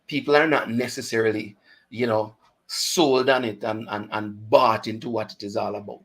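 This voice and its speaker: A man's voice: lowish voice, steady tone, normal speed, a bit bright. A man with a Jamaican accent hosting a chat show, talking casually, slipping into patois and laughing often. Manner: chatty, mixes patois into speech, laughs often. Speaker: radio show host.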